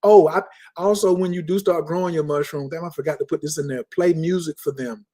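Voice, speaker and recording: A man teaching in an emphatic, lecturing way on a casual podcast, repeating key words slowly. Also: on the high side, fairly even intonation, mellow. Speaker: spiritual teacher. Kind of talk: casual podcast talk, preaching.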